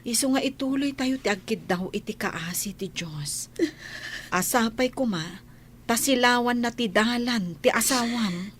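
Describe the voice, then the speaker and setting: A woman's voice: on the high side, unhurried, fairly bright tone, a little hiss or hum. An actress playing a devout, sympathetic friend in an Ilocano radio drama, earnest and consoling as she advises. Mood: prayerful, consoling.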